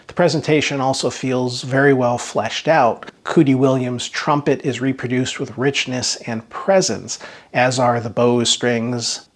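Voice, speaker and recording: A man with a mid-pitched voice delivers a scripted equipment review. His delivery is measured and articulate, and he leans on key words as he narrates like a reviewer.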